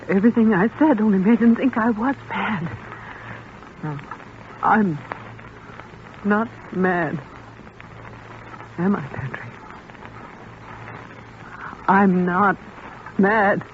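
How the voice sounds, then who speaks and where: Slow-spoken wounded wife, old radio drama. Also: male voice, high voice. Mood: fragile desperation. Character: wounded, fragile, devoted wife of a scientist. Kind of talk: 1940s American radio thriller acting. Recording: muffled, noisy 1940s radio recording.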